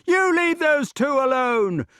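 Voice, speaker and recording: A man's voice: very high voice, sing-song, slow pace, a bit bright, no room sound, clean studio sound, pitched above the performer's own voice, exaggerated voice. A man voicing jeering, defiant prisoners in a storybook, with a taunting, sing-song delivery.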